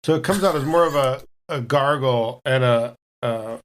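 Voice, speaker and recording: A man role-playing haltingly as a player in a tabletop game. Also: mid-range voice.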